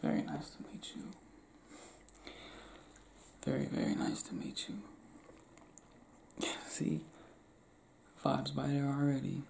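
Soft-whispering young man, gravelly. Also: mid-range voice, expressive, slow pace, pauses often, a little hiss or hum. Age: young adult.

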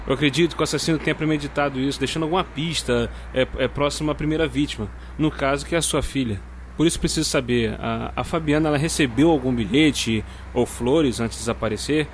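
A man's voice: mid-range voice, a little hiss or hum, close to an everyday voice. A man playing a determined, methodical Brazilian police detective in an audio drama. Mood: earnest, persuasive.

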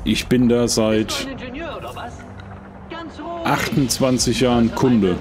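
A man's voice: mid-range voice, fairly even intonation, takes it slowly, music in the background. A middle-aged man streaming a game, chatting casually in a rambling, jokey way as he plays. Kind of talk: casual chat while playing.

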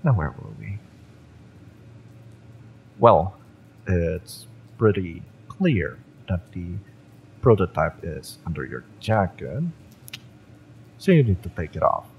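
Cocky cyberpunk merc, played by a male actor: low, slow, smug menace with big swings in pitch, audio-drama style, ambient noise behind. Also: big pitch swings, gravelly, lo-fi sound, heavily acted. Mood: threatening. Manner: taunting, smug, drawn-out menace with swearing. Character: cocky, fiery young male cyberpunk mercenary, taunting and menacing. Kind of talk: dramatic audio roleplay, intimate villain-romance acting.

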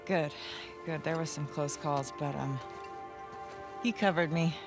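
A level-headed American adventurer woman in a game cutscene. She speaks with dry, frank teasing, and music plays clearly behind her. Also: deep voice, unhurried. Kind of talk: naturalistic video game cutscene acting.

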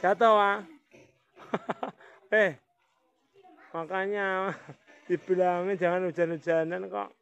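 A woman with a Javanese accent calls out teasing remarks and exclamations as she films children outdoors. She talks at a very slow, drawn-out pace. Her voice comes through dull, lo-fi phone audio. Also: lowish voice, steady tone, gravelly, clean tone. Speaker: person filming children at play. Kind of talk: casual off-camera commentary. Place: outdoors on a wet open court. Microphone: phone camera held by the speaker.

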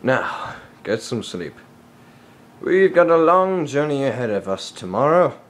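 An actor playing a stern, protective panther in an animated film, authoritative and easily exasperated. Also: male voice, medium pitch, a little slow. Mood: firm, tired. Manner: authoritative, formal, scolding.

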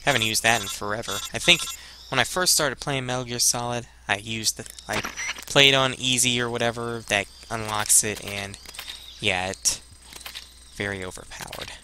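A young man giving casual, halting gameplay commentary as a let's play host, rambling and muttering to himself into a headset. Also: medium pitch, background noise. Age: young adult.

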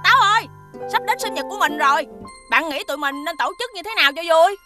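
Feisty, loyal little schoolboy, high childlike cartoon delivery over music. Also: female voice, expressive, quick pace. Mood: friendly, puzzled. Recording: music behind the voice.

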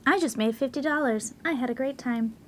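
A woman's voice: high-pitched, brisk, slight background noise, close to an everyday voice. A young woman playing a brazen, scheming college student with a blunt, demanding manner in a comedy radio play. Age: young adult. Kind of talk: 1950s-set comedy radio play acting.

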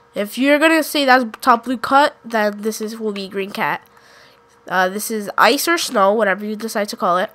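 A woman's voice: medium pitch, a bit bright. A woman with a congested, sick voice giving casual gameplay commentary, pointing out map callouts and often correcting herself. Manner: rambling, self-correcting, unsure. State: congested from a cold. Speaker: gaming YouTuber.

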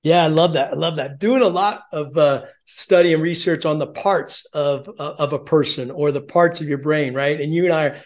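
A man's voice: on the high side, normal speed, few pauses. A man in his fifties hosting an interview over a video call, affirming his guest eagerly and asking long, rambling questions. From born in Germany. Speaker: podcast host. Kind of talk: casual interview chat. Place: home office with shelves of sports helmets.

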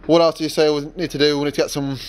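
A man's voice: a little high, little pitch movement, very fast. A young man vlogging casually from his workshop yard, rambling with many fillers and restarts. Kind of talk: casual vlog narration.

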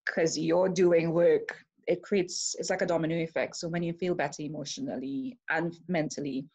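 A woman in her forties with a Trinidadian accent, speaking in a lowish, grounded voice. She talks earnestly and thoughtfully, seated at home and recorded through a laptop camera mic at close range. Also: fairly even intonation, moderate pace, mellow, breathy edge. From Trinidad and Tobago. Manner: earnest, reflective, gestures while talking. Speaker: interviewee sharing her story. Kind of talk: personal testimony to camera. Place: home room, seated on a sofa.

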